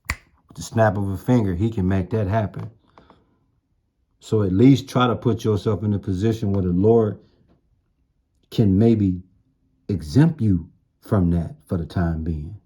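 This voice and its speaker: A man's voice: lowish voice, warm tone, husky. A man giving an informal sermon in blunt, streetwise slang, repeating phrases for emphasis. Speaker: street-style preacher.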